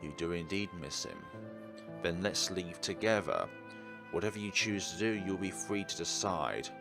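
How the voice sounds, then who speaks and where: A man's voice: low-pitched, expressive, moderate pace, gravelly, over music. A man hosting a let's play, reading visual novel text aloud and adding chatty asides. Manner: chatty asides between read-out narration. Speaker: gaming video host.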